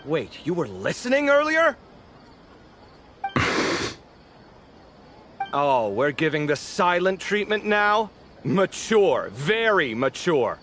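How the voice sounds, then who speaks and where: Animated, friendly, teasing young man. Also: fairly high pitch, slow, pauses often, a little hiss or hum, heavily acted. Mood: indignant, sarcastic. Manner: animated, expressive, apologetic then sarcastic. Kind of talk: video game voice acting.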